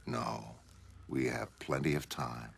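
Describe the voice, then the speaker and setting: A man voicing a calm, emotionally distant superhuman man in a motion comic, speaking in a soft, measured, detached manner. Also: very deep voice, vocal fry, slight background noise, exaggerated voice. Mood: detached, placating. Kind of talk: motion comic dramatic reading.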